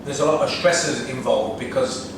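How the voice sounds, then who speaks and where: A man chiming in on a casual podcast chat with relaxed remarks. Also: mid-range voice, moderate pace. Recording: echoey room from far off, background hum.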